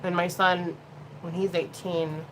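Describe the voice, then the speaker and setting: A woman's voice: on the low side, level tone, slow pace, background noise. A woman in her late thirties chatting casually while doing her makeup, rambling and swearing freely. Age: 30s. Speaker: beauty livestream host.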